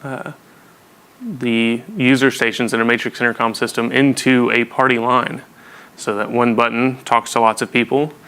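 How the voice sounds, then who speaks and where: Flat-toned man explaining, bright clear voice. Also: mid-range voice. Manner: casual, plain-spoken explaining with everyday examples. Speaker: presenter of a technical training session. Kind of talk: informal technical lecture, explaining.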